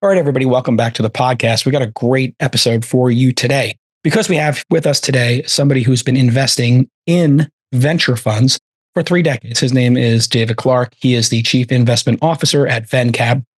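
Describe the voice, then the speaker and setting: Raspy dude hosting a podcast, dry close sound, easygoing. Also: male voice, mid-range voice, steady tone, brisk, no echo. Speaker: podcast host. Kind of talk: casual podcast interviewing.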